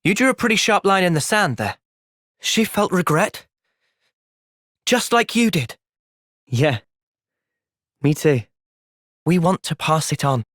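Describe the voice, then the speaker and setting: Young male soldier, video game cutscene, earnest, thoughtful, gentle, expressive pitch, dry recording. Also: a little slow, pauses often, dry recording, full character voice. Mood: calm, thoughtful.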